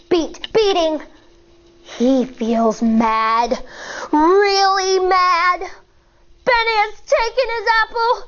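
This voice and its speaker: A woman in her thirties, a teacher, reading a picture book aloud to children in an expressive storyteller manner, recorded in a studio on a lapel mic. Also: extremely high pitch, dull audio. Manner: expressive, sing-song, storyteller. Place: indoor studio in front of a backdrop.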